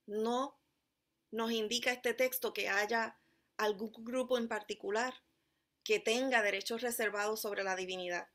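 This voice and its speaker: A woman from Puerto Rico with a Puerto Rican Spanish accent, delivering a sermon as pastor in a measured, emphatic way, slowing down on key phrases. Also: medium pitch, a bit bright. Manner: measured, emphatic preaching with deliberate slowed phrases.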